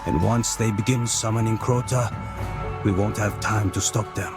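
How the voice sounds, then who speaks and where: An actor playing a grave, knowing warrior mentor in a video game, formal and measured in delivery. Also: male voice, fairly low pitch, fairly even intonation, close to an everyday voice. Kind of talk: dramatic video game acting. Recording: loud music over dialogue.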